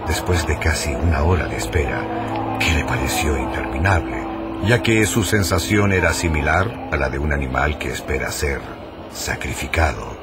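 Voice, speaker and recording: A man's voice: very deep voice, expressive, normal speed, loud music behind the voice. A man giving dramatic scripted narration for a radio drama.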